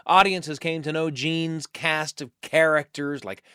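A man hosting a radio show, narrating in a conversational, folksy storytelling style with dramatic pauses, close on the microphone. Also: fairly high pitch, steady tone, sharp tone, clean tone, no echo. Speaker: radio host. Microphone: close studio microphone.

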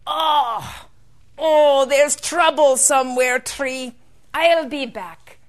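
A high, unhurried leprechaun, acted out by a woman storyteller, kindly and eager. He exclaims in quaint, old-fashioned phrases, like a small shoemaker from a children's storybook. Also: expressive, a bit bright, full character voice. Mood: alarmed.

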